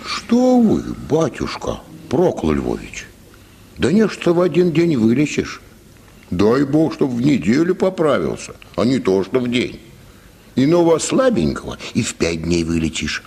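An actor playing a stern, relentless folk healer in a Russian radio play, folksy and gruffly commanding. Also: male voice, mid-range voice, huge pitch swings, a little slow, darkish tone, heavily acted. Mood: folksy, reassuring. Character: stern, relentless barber-healer man forcing a cure, folksy.

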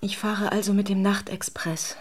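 A woman's voice: medium pitch, fairly even intonation, normal speed, lightly acted. An actress playing an emotional, effusive married Russian woman in a German radio drama. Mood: subdued, resigned. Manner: theatrical, emotionally volatile, effusive.

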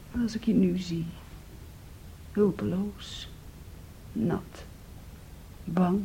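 An actress in a Dutch radio play playing an intense, wounded, possessive young woman, brooding and reproachful, with a breathy delivery. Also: mid-range voice, dark tone, slight background noise, lightly acted. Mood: resigned, sad. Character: Andrea, intense, wounded, possessive young sister clinging to her brother.

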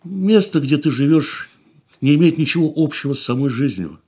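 Radio play: weary consoling man, husky, lively melodic delivery, quiet and reflective. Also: a little high, normal speed. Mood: gentle, reflective. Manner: soft, reflective, calls her 'darling' tenderly. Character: weary worldly middle-aged man, gentle, consoling. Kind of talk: studio radio drama acting.

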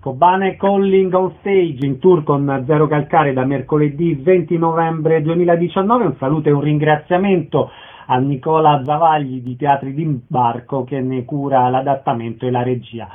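A man's voice: fairly high pitch, normal speed. A man hosting a radio interview, giving show details and putting questions to guests. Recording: thin, band-limited phone-like sound.

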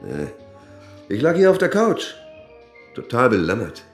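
A man's voice: mid-range voice, huge pitch swings, a little slow, echoey room, soft background music. An actor playing a rich, flippant and defiant male suspect in a German radio crime drama, speaking colloquially and sardonically. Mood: defensive.

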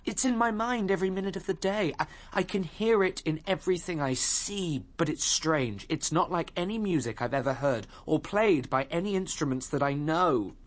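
A man's voice: a little high, lively intonation, normal speed, hardly any pauses, close to an everyday voice. An actor playing an earnest, impulsive young Englishman, well-spoken and emotional, in a radio drama. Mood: frustrated, despairing. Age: young adult. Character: earnest, lovestruck, restless young Englishman, aspiring composer. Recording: music under the voice.

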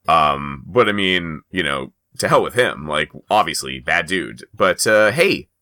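Guy with a low bright voice hosting a loose news chat, swears a bit, really animated. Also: unhurried. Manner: emphatic, informal, profane. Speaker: podcast host. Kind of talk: casual pop culture news chat.

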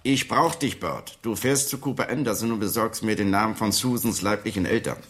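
An actor playing a tough, sardonic police detective in a radio drama, curt and commanding. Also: male voice, fairly low pitch, brisk, a bit bright, close to an everyday voice. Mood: gruff, commanding.

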